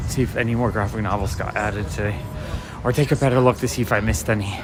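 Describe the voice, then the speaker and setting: A man with a raspy voice, chatting softly and casually to livestream viewers as he walks a city street at night, recorded on a handheld phone. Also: fairly low pitch, fairly even intonation, fast. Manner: casual, chatty, talks to viewers while walking. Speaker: walking vlogger and livestreamer. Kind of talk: soft-spoken rambling walk-and-talk commentary. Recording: street noise and passing traffic. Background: city street ambience and vehicles.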